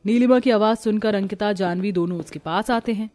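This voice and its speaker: A woman's voice: mid-range voice, fairly quick, darkish tone, faint music behind. A woman narrating a scripted moral story.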